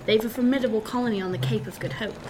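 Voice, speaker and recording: A woman's voice: a little high, racing, music in the background, natural voice. A woman playing a level-headed, dryly witty young male officer in a period seafaring radio drama, with formal nautical phrasing. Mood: brisk, courteous.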